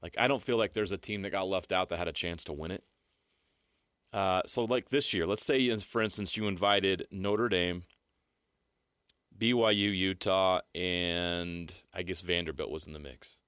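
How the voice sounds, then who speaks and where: A man's voice: deep voice, fairly bright tone, dry recording, clean studio sound. A man co-hosting sports radio, debating casually in an opinionated, emphatic way.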